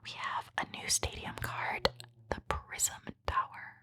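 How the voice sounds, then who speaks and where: Female ASMR host, steady breathy whisper, crisp bright edge, gentle and appreciative, card-opening commentary. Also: slow pace.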